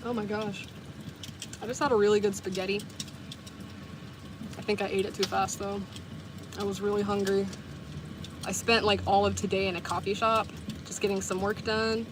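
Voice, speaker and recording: A young woman from the US South talks with her viewers in a loose, rambling way, saying "like" often and correcting herself mid-thought. Her pitch sits in an ordinary middle range. A clear steady hiss of background noise runs under the recording. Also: moderate pace, long pauses. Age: 20s. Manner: chatty, rambling, full of "like" and self-corrections. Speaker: vlogger and livestreamer. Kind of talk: casual chat with viewers.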